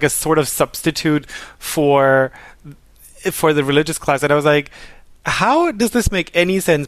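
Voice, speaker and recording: Guy with a higher voice rambling through a story, dry mic. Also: fairly bright tone, dry recording. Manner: rambling, self-correcting storyteller. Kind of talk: casual conversation.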